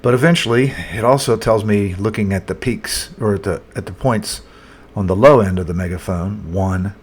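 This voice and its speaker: A man presenting a casual, unscripted chart commentary as a market analyst, thinking aloud in a halting way. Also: on the low side, slightly rough. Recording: noticeable background noise.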